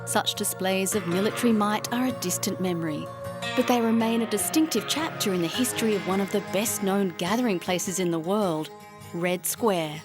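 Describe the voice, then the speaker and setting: Female documentary narrator, polished and even, clear music bed beneath. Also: mid-range voice, normal speed. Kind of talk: scripted narration.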